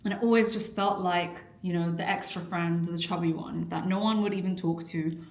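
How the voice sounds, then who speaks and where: A woman's voice: on the low side, steady tone, normal speed, gravelly, a little mellow. A young adult woman from an Iraqi family, a weight-loss coach talking candidly and earnestly to camera in a personal vlog. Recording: echoey room.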